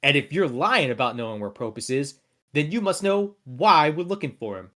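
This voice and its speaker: A man's voice: a little high, very animated, normal speed, a bit bright. A man voicing a menacing, foul-mouthed gang leader in a tabletop game, blunt and threatening, with music behind. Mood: accusing, menacing.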